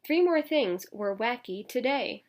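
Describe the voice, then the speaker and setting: A woman teacher reading a picture book aloud to children, expressive and emphatic, with casual asides to her listeners. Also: a little high, unhurried.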